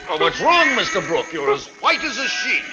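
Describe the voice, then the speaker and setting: A man's voice: high voice, big pitch swings, normal speed, over music. An actor voicing a hearty, folksy old island fisherman in a 1940s radio drama. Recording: muffled, noisy old 1940s radio broadcast.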